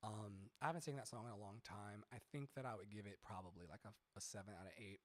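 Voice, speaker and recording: A man with a boomy baritone-tenor voice teaching singing on a live stream, rambling and chatty, swearing casually between sung examples. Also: fast talker. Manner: rambling, chatty, profane, sings snatches mid-talk. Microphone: studio mic with added reverb. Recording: reverb-drenched streaming mic.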